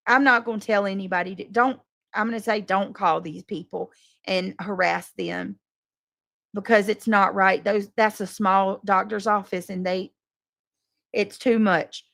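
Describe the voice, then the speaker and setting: Exasperated woman with a creaky, dry-sounding voice. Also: medium pitch, steady tone, unhurried, fairly bright tone, dry recording. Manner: exasperated, defensive, emphatic; coarse, swearing. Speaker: livestream host addressing subscribers and moderators. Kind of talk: casual unscripted livestream monologue.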